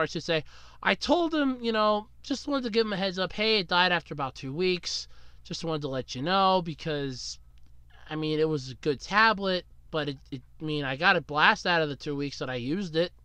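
A man talking through a solo podcast in a rambling, casual way, still getting over a cold. His voice is bright and smooth, and it jumps around a lot in pitch. The sound is close and dry, with no room around it. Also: expressive, moderate pace, no echo. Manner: rambling, chatty, swings up and down in pitch. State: recovering from a cold. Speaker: podcast host.

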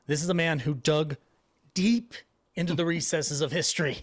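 A man's voice: a little high, normal speed, dry recording. A man in his thirties hosting an online event, talking in an effusive, rambling way into a close desk microphone. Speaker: podcast host introducing and interviewing a guest. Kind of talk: enthusiastic live event introduction and chat. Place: indoor room at home on a video call. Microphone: close desk microphone with headset.

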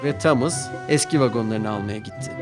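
A man narrating a children's cartoon storybook-style, with clear and expressive delivery. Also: mid-range voice, fairly quick. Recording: music playing behind.

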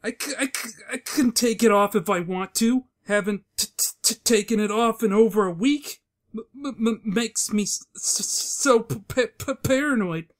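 A man's voice: sits high, moderate pace, lightly acted. A man playing an insecure, lonely young man with a heavy stammer in a comic-book dub. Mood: nervous.

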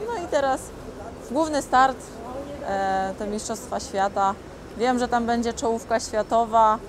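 Upbeat young woman, street noise. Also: fairly high pitch. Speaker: boxer. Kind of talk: interview answers. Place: outdoors by a glass building. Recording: outdoor city noise behind.

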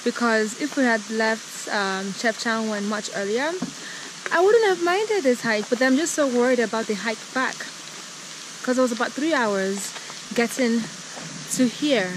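A woman's voice: a little high, measured pace, background noise. A young woman vlogging a mountain hike outdoors, chatty and out of breath, filmed on a handheld camera at arm's length. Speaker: travel vlogger. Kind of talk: casual travel vlog. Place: outdoors on a mountain trail by a waterfall. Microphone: camera held at arm's length.

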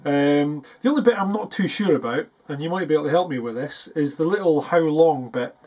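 A man's voice: fairly high pitch, fairly quick, darkish tone. Dull-sounding man, casual guitarist's chat. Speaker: guitarist presenting a video. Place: small foam-treated practice room indoors.

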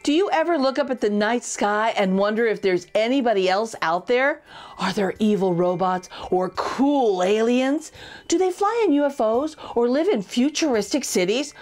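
A middle-aged woman hosting a children's storytime, reading a picture book aloud with expressive, exaggerated intonation. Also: on the high side, normal speed. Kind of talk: animated read-aloud for young children.

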